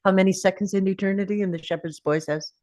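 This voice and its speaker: A woman's voice: mid-range voice, fairly even intonation, fast, a little mellow, natural voice. A woman playing an ancient male time traveller, weary and defiant, at a table read of a drama script.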